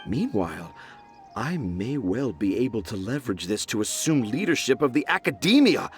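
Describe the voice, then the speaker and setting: A man's voice: medium pitch, very animated, moderate pace, faint music behind, natural voice. A man voicing a pompous, dreamy children's author in a video game, speaking in grand, flowery phrases. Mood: grandiose.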